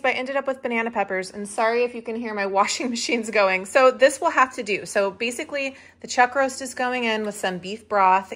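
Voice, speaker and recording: A woman's voice: mid-range voice, a bit bright. A woman talking casually through a shopping haul in voice-over, upbeat and rambling with fillers, recorded at home. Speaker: vlogger. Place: home, washing machines running.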